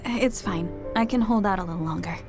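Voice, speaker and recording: A dutiful, overworked chief engineer played by a woman in a video game cutscene. She sounds mild, polite and earnest at a medium pitch, with music playing behind her. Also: fairly quick, lightly acted. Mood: weary, dutiful.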